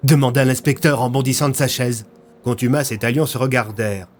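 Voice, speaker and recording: A man's voice: mid-range voice, fairly even intonation, brisk. A man narrating a scripted audio story in the manner of a storyteller. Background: faint music.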